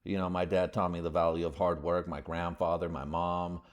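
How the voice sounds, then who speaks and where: A man's voice: deep voice, little pitch movement, normal speed. A man born in the United States hosting a podcast, rambling casually in slangy, profane talk with lots of fillers. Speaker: podcast host.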